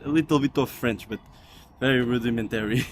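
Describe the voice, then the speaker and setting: Man, Portuguese accent, mid pitch, hesitant, repeats words, street interview, faint street noise. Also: brisk. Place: outdoors on a city street.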